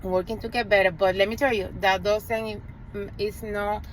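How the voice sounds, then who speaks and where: A woman's voice: mid-range voice, fairly even intonation, moderate pace, low-quality recording. Earnest spanish-accented lady, realtor vlog, traffic noise behind her outdoors. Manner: earnest, self-correcting, speaks straight to the viewer. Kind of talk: direct-to-camera vlog.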